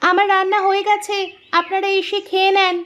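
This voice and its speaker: A meek young wife from a cartoon family drama. Her voice sits very high and sounds plaintive and earnest, that of someone put-upon who will still stand her ground. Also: flat delivery, fairly quick, a bit bright, lo-fi sound. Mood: weary, dutiful.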